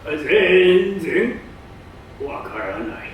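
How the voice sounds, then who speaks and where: A man playing a pompous, eager old geographer in a theatrical staged reading, with drawn-out, emphatic pronouncements. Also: high-pitched, very animated, dull audio, exaggerated voice. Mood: matter-of-fact. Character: pompous, eager old male geographer, self-styled scholar. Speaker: stage reader. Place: public hall stage. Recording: distant mic in echoey hall, faint noise.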